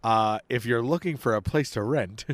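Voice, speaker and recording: A young man co-hosting a casual podcast, chatty and quick to laugh, recorded outdoors on a porch. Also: medium pitch, no room sound. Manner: chatty, laughs often, talks over his co-host. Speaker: podcast co-host. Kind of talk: casual chat.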